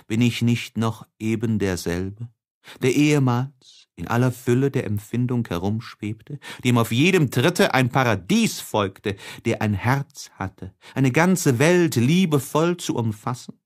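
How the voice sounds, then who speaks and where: A man playing a tormented, lovesick young man in an audiobook of a classic novel, emotive and halting, with heavy pauses. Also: mid-range voice, lively intonation, close to an everyday voice. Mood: despairing. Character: tormented, lovesick young man writing despairing diary letters.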